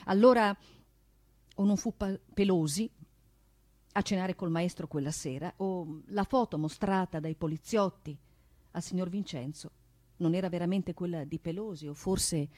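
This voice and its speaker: A woman performing a theatrical monologue in a declamatory, deliberate way, with emphatic delivery and dramatic pauses. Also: on the low side, a little mellow, dry recording. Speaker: stage narrator and performer. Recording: piano music accompaniment. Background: live piano.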